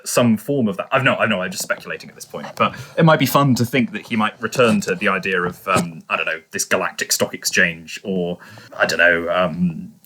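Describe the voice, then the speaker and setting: A man with a fairly low but very bright voice talks as a podcast co-host. He chats informally, speculating hesitantly and qualifying his points as he goes. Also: brisk, a little hiss or hum. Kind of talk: casual discussion.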